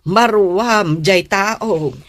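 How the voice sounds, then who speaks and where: A woman's voice: medium pitch, drawn-out words. An actress playing a concerned, exasperated middle-aged mother in a Filipino radio drama, lamenting and chiding with a Filipino accent. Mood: worried, chiding. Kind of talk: Philippine radio drama acting.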